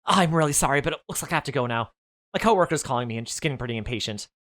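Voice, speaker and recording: A woman voicing a polite, flustered young woman performer who talks in an apologetic, hurried way. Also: a bass voice, expressive, voice pushed up.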